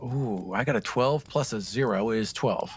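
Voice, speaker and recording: A man's voice: mid-range voice, normal speed, slight background noise. A man playing a tabletop game, chatting casually in a jokey, self-deprecating and profane way.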